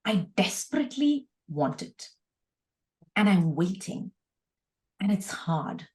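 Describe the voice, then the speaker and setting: A woman's voice: medium pitch, big pitch swings, slow, a little mellow. A woman speaking as an interview guest, reflecting on personal experience in an earnest, emphatic conversational way, often repeating words for emphasis. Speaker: guest, organisational leader.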